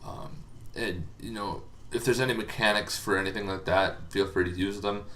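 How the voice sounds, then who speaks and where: A man's voice: lowish voice, fairly even intonation, moderate pace, fairly bright tone, a little hiss or hum. A man hosting a casual, informal explainer on tabletop game monsters, talking in a rambling, hesitant way as if thinking aloud.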